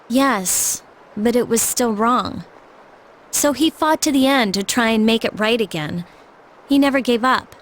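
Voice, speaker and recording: High-voiced earnest teenage girl played by a woman. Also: slight background noise, natural voice. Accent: American. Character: earnest, resolute teenage schoolgirl fighter. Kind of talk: anime game voice acting. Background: music.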